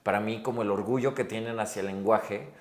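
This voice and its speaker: A man's voice: on the low side, steady tone, fairly quick. A Mexican man hosting a podcast, chatting in a reflective, rambling way and often checking in with his guest. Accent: Mexican Spanish. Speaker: podcast host. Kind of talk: casual interview chat. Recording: roomy echo.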